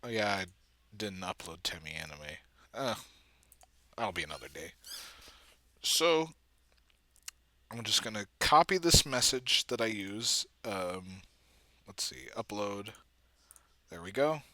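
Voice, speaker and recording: A man's voice: on the low side, big pitch swings, takes it slowly, long pauses, sharp tone, dry recording. A man giving casual, self-deprecating commentary as he works on his computer, with muttered asides. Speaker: video creator, comic dub editor. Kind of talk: casual screen-recording commentary. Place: indoors at a computer.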